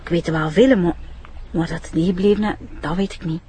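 A woman's voice: fairly low pitch, steady tone, brisk, close to an everyday voice. A woman with a Flemish accent playing a flustered, gossipy village wife with a rambling, homely manner in an audio drama. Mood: indignant, gossipy. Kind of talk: character acting in audio drama. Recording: faint background noise.

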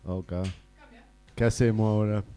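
A man's voice: fairly low pitch, fairly even intonation, normal speed, mellow, natural voice. A man playing a naive, stubborn would-be singer with an Argentine Rioplatense accent, eager and insistent, in an improvised radio drama. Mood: agreeable.

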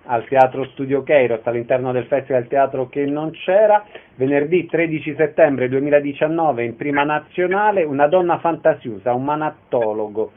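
A man presenting and interviewing as a radio host, with scripted announcements. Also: fairly high pitch. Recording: narrow, phone-like radio sound.

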